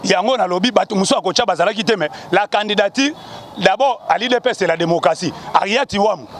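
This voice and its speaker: A man's voice: high voice, fast talker, fairly bright tone, slight background noise. A man from Kongo Central, arguing emphatically as a party supporter in a street interview. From Kongo Central, DR Congo. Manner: emphatic, repetitive, rallying. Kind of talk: impassioned political argument.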